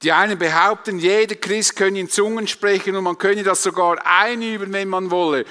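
Male Swiss German preacher: forceful bright tone, rhetorical and emphatic, recorded in a big reverberant hall. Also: high-pitched, steady tone, normal speed. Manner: emphatic, rhetorical repetition and questions. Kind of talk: sermon to a congregation.

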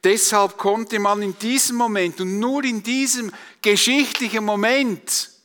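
Male preacher, Swiss German accent, high-pitched, emphatic sermon delivery, long hall reverb. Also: steady tone, a little slow, fairly bright tone. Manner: emphatic, rhetorical repetition and questions. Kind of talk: sermon to a congregation.

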